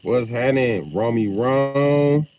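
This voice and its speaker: A man chatting casually on a livestream, loud and profane, with an animated delivery. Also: medium pitch, slow pace.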